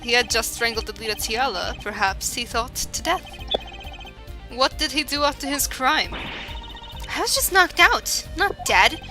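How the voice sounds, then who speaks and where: A woman's voice: fairly high pitch, lively intonation, unhurried, music in the background. A woman voicing a cool, defensive young female witness in a fan-voiced game.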